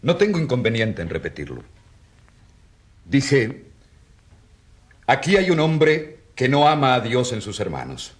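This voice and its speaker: A country priest in a radio play, earnest and defiant. His mid-pitched voice is solemn yet moves widely as he defends himself. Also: wildly swooping pitch, measured pace, long pauses. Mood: firm. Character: earnest, defiant rural priest standing accused. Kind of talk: radio drama acting.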